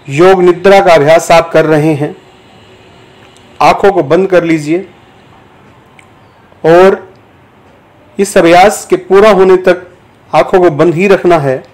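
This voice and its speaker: A man giving guided yoga nidra instruction as a teacher, in measured, deliberate short phrases with pauses between them. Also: fairly high pitch, darkish tone, low-quality recording. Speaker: yoga teacher guiding a meditation.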